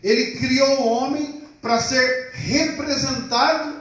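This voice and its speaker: A pastor preaching a sermon to a congregation, calling out for responses and drawing out key words slowly. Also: male voice, very high-pitched, steady tone, fairly bright tone. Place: church hall. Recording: echoey hall, mic far off.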